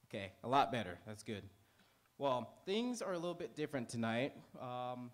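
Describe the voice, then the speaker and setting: A man hosting a live theater pre-show, addressing the audience from the stage in an informal, halting way. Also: medium pitch, very animated.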